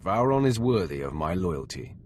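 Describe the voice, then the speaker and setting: An actor playing a stern Sith master in a video game, speaking with measured, formal authority. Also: male voice, sits low, big pitch swings, darkish tone, slight background noise. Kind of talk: dramatic video game voice acting.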